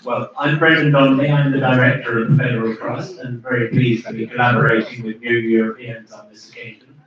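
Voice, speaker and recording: A man's voice: medium pitch, level tone, fairly quick. Man chairing a conference in an echoey hall. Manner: hesitant, many fillers. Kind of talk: opening remarks at a conference. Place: conference room. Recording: echoey hall from far off, dull sound.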